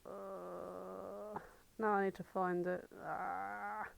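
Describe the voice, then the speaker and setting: A woman's voice: mid-range voice, little pitch movement, drawn-out words, vocal fry. A woman co-hosting a casual comedic podcast, chatting in an irreverent, sweary, bantering way. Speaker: podcast co-host.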